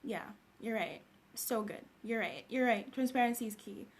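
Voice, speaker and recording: A woman's voice: on the high side, moderate pace, a little hiss or hum. A woman from Zambia chatting casually on a livestream, greeting viewers by name and laughing often. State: just woke up. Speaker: livestream host. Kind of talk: casual live-stream chat with viewers.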